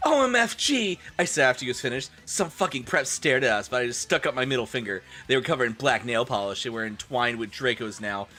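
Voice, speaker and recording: A man streaming a comedic dramatic reading in a theatrical, expressive manner. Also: medium pitch, fast talker, few pauses.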